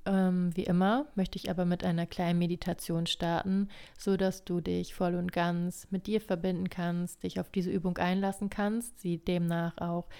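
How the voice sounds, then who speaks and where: A woman hosting a podcast that guides writing meditations, talking gently and unhurriedly, her voice a little hoarse from a cold. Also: lowish voice, little pitch movement, normal speed, few pauses. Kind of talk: calm guided podcast talk.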